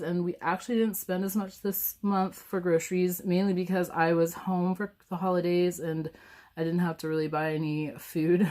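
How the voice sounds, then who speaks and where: Raspy woman getting over a cold. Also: on the low side, darkish tone. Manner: chatty, with frequent ums and restarts. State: hoarse from a cold. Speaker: budgeting vlogger. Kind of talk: casual budget walkthrough. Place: at home, filming a planner on a table.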